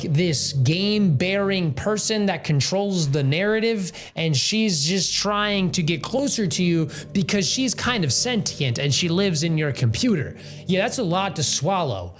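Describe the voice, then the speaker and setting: A young man giving casual, slangy commentary on a video game, rambling and playfully dramatic. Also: high-pitched.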